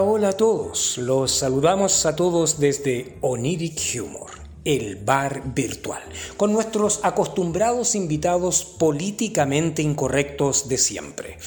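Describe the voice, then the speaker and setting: A woman's voice: sits low, darkish tone. A woman hosting a mock talk-show interview, mock-formal and full of hesitant fillers. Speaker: host.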